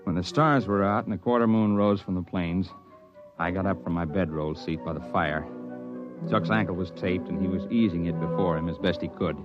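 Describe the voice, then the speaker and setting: A man's voice: deep voice, steady tone, normal speed, lo-fi sound, natural voice. A man playing a plainspoken, seasoned mountain climber who narrates and acts in an old-time radio drama. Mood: measured, tense. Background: music.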